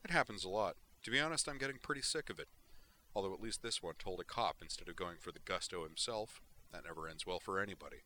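A man's voice: sits low, animated, fast, sharp tone, slight background noise. A man voicing a tired, dry-humored male fugitive who talks with sardonic resignation. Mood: bitter.

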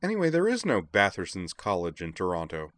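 A man's voice: sits low, wildly swooping pitch, a little fast, a bit bright, no echo, heavily acted. A man voicing a sulky, whiny character in an audiobook who pouts and talks about himself by name. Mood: sulky, pouting. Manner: third-person self-reference, pouting.

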